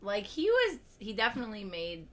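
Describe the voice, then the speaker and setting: A young woman with a hoarse voice, a podcast guest chatting casually at home, laughing often and trailing off mid-sentence. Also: mid-range voice, wildly swooping pitch, a little fast, a crowd in the background. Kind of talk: casual interview chat. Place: family home.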